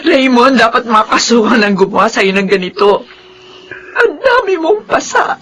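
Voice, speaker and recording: Guilt-ridden middle-aged mom in a radio drama, high emotional voice, bit of background noise. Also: female voice, big pitch swings, moderate pace, a bit bright. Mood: distressed, tearful. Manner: emotional, melodramatic. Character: anguished, guilt-ridden mother, middle-aged, worried and tearful. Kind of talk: Filipino radio drama acting.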